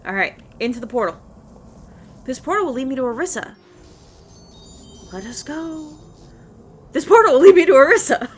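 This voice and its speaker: A woman hosting casual gameplay commentary in an excitable, playful manner. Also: high-pitched, normal speed, pauses often. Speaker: gaming video host.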